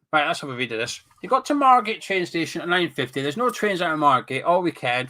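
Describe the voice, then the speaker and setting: A man's voice: a little high, sharp tone. A Scottish man hosting a live stream from his webcam, reading out viewer comments and chatting, sniffly and blocked up with a cold. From Scotland. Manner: chatty, banters with chat, drifts off topic.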